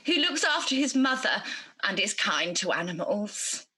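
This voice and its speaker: A woman's voice: mid-range voice, very animated, measured pace, sharp tone, husky, exaggerated voice. A woman playing a good, easily indignant champion of virtue in a radio pantomime, theatrical and earnest.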